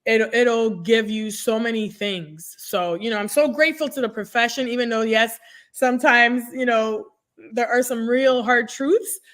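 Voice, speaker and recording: An energetic woman in her thirties, a native French speaker, tells stories in a conversational podcast interview. Her voice sits fairly high. She speaks into a desk microphone on a video call from a room at home. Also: normal speed. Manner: energetic storytelling, expressive hands. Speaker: guest, nurse career coach.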